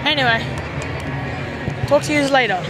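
A teenage boy with a hoarse, worn-out voice, excitedly vlogging and cheering at a football game. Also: extremely high pitch, moderate pace, poor audio. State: hoarse, voice lost. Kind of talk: casual vlog commentary. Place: packed outdoor football stadium. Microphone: handheld camera. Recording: loud crowd noise and music. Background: crowd cheering.

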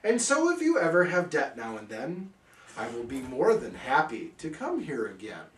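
A man's voice: a little high, huge pitch swings, moderate pace, roomy sound, recorded from a distance. A man reading a rhyming bedtime story parody aloud in a theatrical, singsong manner.

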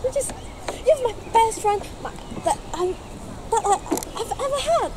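A young woman acting a hurt, defensive former songwriting partner in a live play, speaking haltingly and emotionally. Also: very high voice, animated, over a noisy crowd. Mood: pleading, upset. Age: young adult. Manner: halting, emotional, repeating herself. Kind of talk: live theatre dialogue. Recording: very noisy outdoor location recording.

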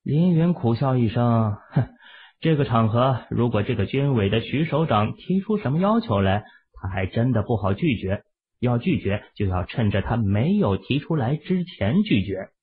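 A man's voice: mid-range voice, very animated, normal speed, breathy edge. A man narrating a novel expressively as an audiobook reader. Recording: muffled, phone-like narrow sound.

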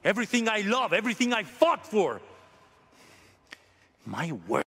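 A ruthless middle-aged zealot in a dramatic game scene. His voice is raspy and forceful, swinging widely in pitch as he justifies himself with fierce intensity. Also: sing-song, moderate pace, heavily acted. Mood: grave, bitter. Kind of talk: dramatic video game cutscene acting.

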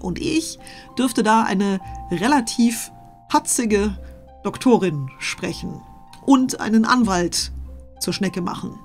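A woman's voice: mid-range voice, expressive, slow, pauses often, soft background music. A middle-aged woman hosting an audio story channel, giving an enthusiastic introduction to her audience.

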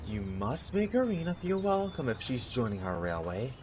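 A woman doing a gentle fatherly engine. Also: extremely low pitch, big pitch swings, moderate pace, very noisy, voice pushed down. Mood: kindly. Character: fatherly old male steam engine. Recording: music underneath.

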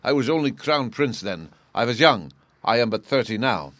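Man voicing a proud, emphatic foreign nobleman, raised pitch. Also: animated, moderate pace, a bit bright, no room sound, lightly acted. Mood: distraught. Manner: formal, grandiose, emphatic. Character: proud agitated thirty-year-old nobleman, a masked Bohemian king.

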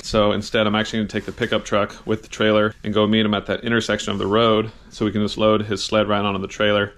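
A man's voice: lowish voice, fairly bright tone. A man in his thirties vlogging casually to a handheld camera outdoors in the snow, talking in an easygoing, upbeat way. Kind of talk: casual vlog talk to camera. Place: outdoors on a frozen lake in snowy woods. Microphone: camera held at arm's length. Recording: background music under the voice.

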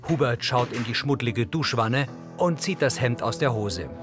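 Audio description narrator, male, measured and factual, mid-range pitch, clear music underneath. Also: animated. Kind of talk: scripted present-tense narration of a TV show's action.

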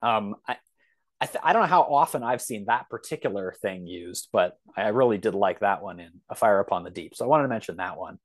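A man in his thirties chats as a guest on a podcast. His voice sits on the low side, recorded close and dry. He talks casually, feeling his way through his thoughts in halting phrases. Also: animated, dry recording.

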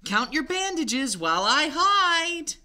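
A reader doing a squeaky little baby mummy. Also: big pitch swings, slow pace, bright, forceful tone, full character voice. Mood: pleading. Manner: high squeaky pleading calls. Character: little baby boy mummy, pleading, scared.

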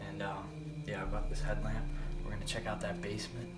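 A young man filming a casual vlog, joking and talking low as he explores a dark abandoned farmhouse basement on a handheld camera. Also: monotone, fairly quick, loud music behind the voice. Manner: joking, hushed while exploring. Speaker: vlogger.

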